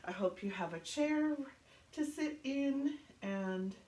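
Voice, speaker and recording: A woman's voice: sits high, lively intonation, slow pace. A woman from Canada, stuffed up and congested, chatting through a guided chair exercise class and counting reps. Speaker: fitness instructor. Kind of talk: guided chair workout instruction. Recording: roomy, from a distance, loud music. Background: loud upbeat music.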